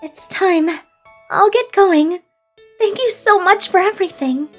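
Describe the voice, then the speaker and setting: Casting: actress as timid, sweet young woman, extremely high voice, manga romance drama, light music underneath. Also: measured pace. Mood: grateful. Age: young adult. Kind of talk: anime-like manga drama dialogue.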